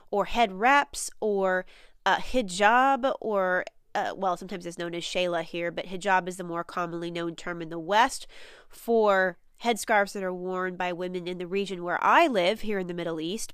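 A woman hosts her own spiritual podcast, her voice nasal from a head cold. She talks in an earnest, musing way, pausing on fillers and restarting thoughts. The sound is smooth and dry, with a mid-range pitch. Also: no echo. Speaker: podcast host and spiritual coach. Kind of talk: solo podcast monologue.

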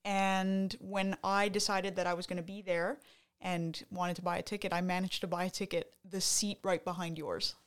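A woman's voice: on the low side, level tone, moderate pace. Chatty Canadian woman podcast co-host. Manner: chatty, enthusiastic, trails off mid-sentence. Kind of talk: casual chat.

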